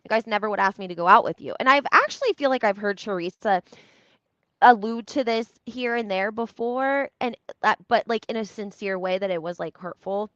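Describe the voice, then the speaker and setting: A woman's voice: mid-range voice, expressive, fairly quick, fairly bright tone. A woman giving casual, opinionated reality TV commentary in a conversational, emphatic way, using rhetorical questions and repetition. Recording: roomy echo, slightly dull lo-fi sound.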